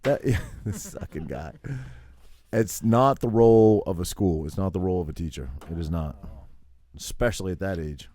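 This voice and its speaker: Deep-voiced man in casual chat. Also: normal speed, mellow. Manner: agreeable, interjects often, profane. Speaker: podcast co-host.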